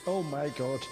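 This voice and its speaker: A man of forty-nine with an Austrian accent, chatting casually and playfully in halting, repetitive English as a native German speaker. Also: on the high side. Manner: playful, halting, repeats words. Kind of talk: casual chat with a stranger playing music. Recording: long reverb with faint music. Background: faint music being played.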